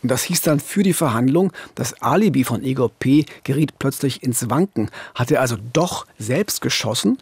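A man's voice: mid-range voice, animated, moderate pace, few pauses, husky, dry recording. A man in his fifties telling true crime cases in a conversational, narrated podcast style as host. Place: small dark radio studio, indoors. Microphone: studio microphone on a boom arm, close.

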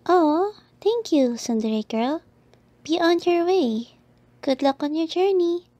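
A woman playing a sweet, watchful yandere girl in an ASMR roleplay, breathy and soft-spoken, often slipping into whispers. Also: very high-pitched, animated, slow pace, dry recording, exaggerated voice. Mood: sweet, surprised.

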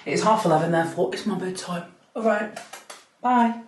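A young woman with a deep voice, chatting to camera at home in a gushing, giggly way. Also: a little fast, heavy background noise. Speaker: vlogger. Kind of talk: casual chat to camera. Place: home bathroom at night, then a bright kitchen. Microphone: camera on a low stand. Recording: echoey room, camera a bit far.

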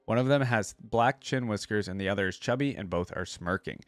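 Low-voiced young man, dry close sound. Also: fairly quick, no room sound. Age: young adult. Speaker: reaction video host. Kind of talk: casual reaction commentary mixed with reading a story aloud. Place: indoor room at a streaming setup. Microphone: desk microphone up close.

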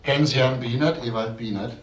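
An actor playing a calm, probing police detective in a crime drama, questioning in a dry, insistent way. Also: male voice, medium pitch, fairly quick, breathy edge, lo-fi sound, lightly acted.

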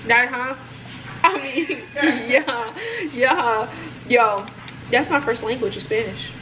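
Young woman, vlogger, casual rambling chat, fairly high voice, echoey home room. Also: takes it slowly. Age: young adult. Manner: chatty, rambling, says "y'all" a lot. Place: home room indoors, bathroom later. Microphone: phone or camera propped low, close.

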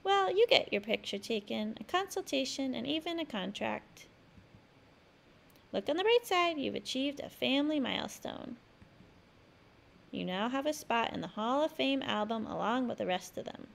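A woman reads aloud for young children as a host and storyteller. Her voice sits fairly high and swings widely in pitch, with a clearly creaky edge. She delivers each phrase expressively and with emphasis. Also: lively intonation, normal speed. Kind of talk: expressive picture-book read-aloud narration.

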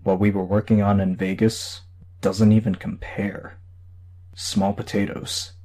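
A secretive superhero voiced by a male narrator explains things matter-of-factly in an audiobook story. The voice is low, mellow and husky. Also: little pitch movement, unhurried. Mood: grave. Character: secretive, matter-of-fact superhero in a borrowed body.

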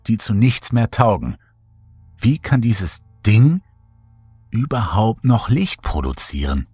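A man narrating a horror audiobook in the first person, measured, somber and suspenseful, with dramatic delivery. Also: fairly low pitch, a little mellow, husky, dry recording.

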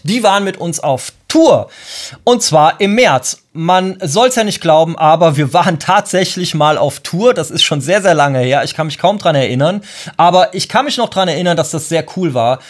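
Lively chatty man hosting a radio show. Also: high-pitched. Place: home music studio.

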